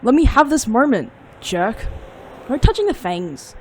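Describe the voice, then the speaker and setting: An actor playing a grumpy, sarcastic young demon, grumbling and deadpan in a fiction podcast. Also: mid-range voice, unhurried, a little hiss or hum. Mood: sulky.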